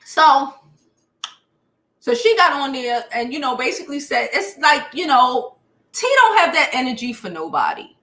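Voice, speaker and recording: An American woman chats to her live viewers in a high-pitched voice, recorded with noticeable room echo. Her delivery is forceful and bright, full of slang. She comes across as a blunt, sassy commentator talking casually through gossip. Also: big pitch swings, moderate pace. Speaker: YouTube livestream commentator and host.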